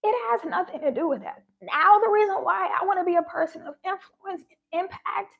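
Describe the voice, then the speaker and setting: A woman's voice: extremely high pitch, a little fast, vocal fry, lo-fi sound. A woman giving a heartfelt spiritual talk, her voice shaky and sounding close to tears. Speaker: spiritual vlogger.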